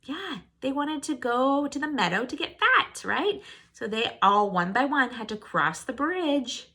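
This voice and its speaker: A woman teacher reading a storybook aloud and teaching children, expressive, posing questions to her listeners. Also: high voice, measured pace. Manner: expressive, asks listeners questions and answers them.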